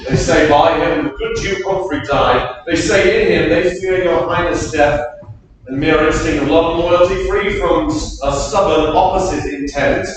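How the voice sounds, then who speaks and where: A man's voice: a little high, normal speed, slight background noise, close to an everyday voice. A man reading the part of an earnest lord delivering a message in a staged Shakespeare reading. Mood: urgent. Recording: echoey room, distant mic.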